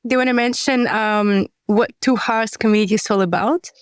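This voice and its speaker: A woman's voice: on the high side, a little fast, clean tone, dry recording, clean studio sound. A woman hosting a podcast interview, enthusiastic and full of praise for her guests. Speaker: podcast host.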